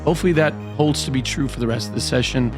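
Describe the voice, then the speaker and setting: Hyped, slightly hoarse man narrating poker. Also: mid-range voice, fast. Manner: hyped, dramatic play-by-play. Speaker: poker vlogger. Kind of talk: voice-over narration of poker hands. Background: background music.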